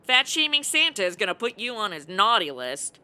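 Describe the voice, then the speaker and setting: Woman acting sarcastic hard-drinking publicist, radio play, bright forceful voice, very animated, slangy, grouchy. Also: medium pitch, a little fast, clear, resonant tone. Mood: grumpy, sarcastic. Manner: sarcastic, irritable, slangy delivery. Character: sardonic hungover hard-drinking woman publicist and reluctant babysitter.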